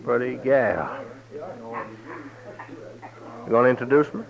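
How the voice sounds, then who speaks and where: Radio western villain: menacing, insolent gunfighter, very slow drawl, dull fifties sound. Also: male voice, medium pitch, vocal fry. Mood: leering, taunting. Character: cocky, menacing gunslinger goading a lawman. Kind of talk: 1950s radio western acting. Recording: dull, muffled 1950s radio recording.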